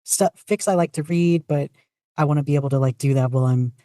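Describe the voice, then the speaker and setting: A woman's voice: deep voice, fast, dark tone, no room sound, clean studio sound. A woman speaking as a podcast guest in a casual, self-deprecating, informal way. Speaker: podcast guest, fanfiction writer and podficcer. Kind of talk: casual interview chat.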